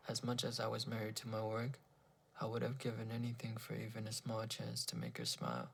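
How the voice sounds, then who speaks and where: A man with a gravelly, husky voice reading a first-person horror story in a brooding, dramatic, deliberate way. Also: lowish voice, fairly bright tone.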